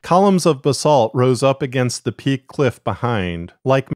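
A man with a mid-pitched voice narrates a fiction podcast. He reads from a script, recorded very dry on a close studio mic. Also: sing-song, measured pace, dry recording.

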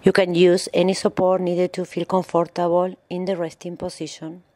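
A woman yoga instructor narrating a guided meditation in measured, instructional phrases with pauses. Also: on the low side, flat delivery, warm tone, dry recording.

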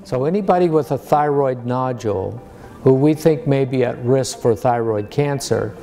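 Man in his sixties, American accent, dark relaxed voice, medical lecture, measured plain explaining. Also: medium pitch, faint music behind. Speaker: surgeon giving a public lecture. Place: medium-sized lecture room.

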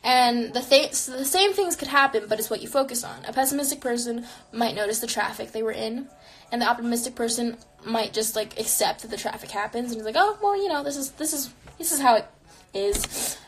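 A woman's voice: a little high, fairly quick. Chatty young woman live-streaming from her bedroom. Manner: chatty, frequent "like" fillers, reflective. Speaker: streamer answering viewer questions. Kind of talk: casual live-stream chat. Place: small bedroom with neon lights, indoors. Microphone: phone camera close to face.

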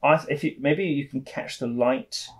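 A man, hosting a shopping show in a casual, lively way, with many restarts, recorded on a studio set with a lapel mic. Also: medium pitch, moderate pace. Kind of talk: casual product chat.

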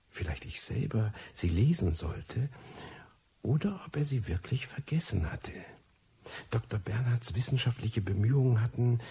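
An actor playing a disillusioned, reclusive manuscript reader, narrating a radio play in a brooding, ironic way with a husky, gravelly voice. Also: male voice, lowish voice, dark tone, exaggerated voice. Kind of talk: scripted first-person radio play narration.

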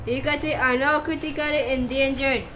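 Woman as a high-voiced, earnest ranger. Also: steady tone, normal speed, close to an everyday voice. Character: earnest conservation ranger explaining dangers. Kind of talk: amateur puppet show acting. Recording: noisy live puppet show.

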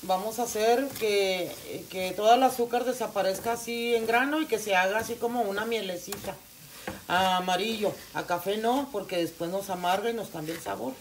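A middle-aged woman explaining a recipe step by step in her home kitchen, unhurried and plain, with frequent restarts. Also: mid-range voice, slight background noise. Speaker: home cooking channel host. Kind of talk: casual cooking tutorial.

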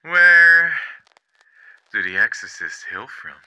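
A man's voice: mid-range voice, big pitch swings, takes it slowly, sharp tone, smooth, full character voice, rough recording. A man voicing a smug male radio demon in a fan comic dub, sly and mocking. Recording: faint music behind.

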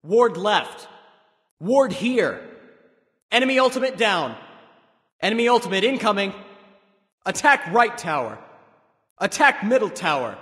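A proud warrior sage god from a video game, with a high, bright and forceful male voice. He delivers short, commanding callouts at an unhurried pace, crisply and theatrically. A long reverb trails behind his words. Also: very animated, exaggerated voice.

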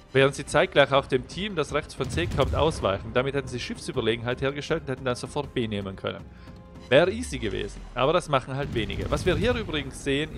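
A young man with a slight cold, explaining a naval game replay as he commentates over it, getting livelier when the action starts. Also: medium pitch. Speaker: gameplay commentator.

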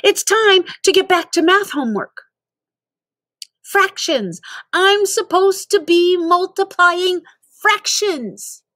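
Woman voicing a high-pitched, panicky young boy. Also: lively intonation, slow pace, a bit bright, dry recording, clean studio sound, heavily acted. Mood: indignant protest. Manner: shrieking, pleading, protesting. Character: panicked, exasperated sixth-grade boy thrust into a video game.

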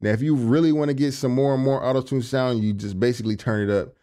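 Low-voiced man, laid-back studio tutorial. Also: quick pace. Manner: relaxed, conversational, many fillers like "you know what I'm saying". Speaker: music producer and engineer. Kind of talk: casual tutorial walkthrough. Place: home studio. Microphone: large studio condenser on a stand.

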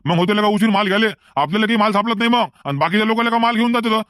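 A man's voice: sits high, rapid-fire, a bit bright, no echo, clean studio sound. A man voicing an impatient cartoon market buyer, blunt, nagging and folksy, in comedic dialogue. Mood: impatient scolding. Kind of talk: comedic cartoon dialogue in colloquial rural Marathi.

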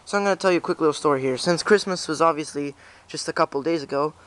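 A teenage boy giving a casual, halting, explanatory walkthrough as a vlog host, recorded on a handheld camera. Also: on the high side, fairly bright tone. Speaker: YouTube channel host. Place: backyard, then inside a small unheated shed. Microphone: handheld camera's built-in mic. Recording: handheld camera with clear background noise.

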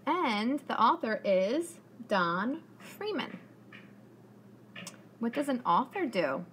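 A woman in her thirties reading aloud as a preschool teacher in a classroom, encouraging and deliberate, asking questions. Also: fairly high pitch, sing-song, fairly bright tone. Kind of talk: children's read-aloud with questions. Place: indoors, school classroom. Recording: faint room noise.

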